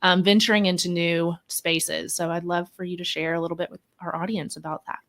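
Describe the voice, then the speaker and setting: A woman's voice: fairly low pitch, little pitch movement, a little fast, fairly bright tone. A woman hosting a conversational interview, speaking in a gracious, appreciative manner. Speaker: interview host.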